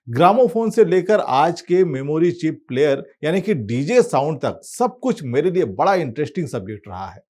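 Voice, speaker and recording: Male satirical monologist, higher-than-average voice, highly varied intonation, wry conversational storyteller. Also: a little mellow. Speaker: presenter.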